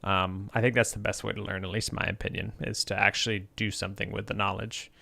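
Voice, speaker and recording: A man streaming his game development, chatting casually and thinking out loud with many fillers while encouraging viewers. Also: lowish voice, flat delivery, fast, vocal fry. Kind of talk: casual livestream chat while coding.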